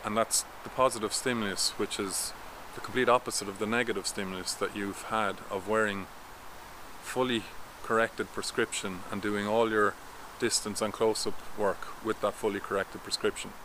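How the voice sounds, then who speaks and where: A man's voice: on the low side, moderate pace, background noise. A man in his thirties, a vision coach, explaining things step by step in a talking-head vlog recorded outdoors in a garden with a camera at arm's length. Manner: steady, instructive, explaining step by step.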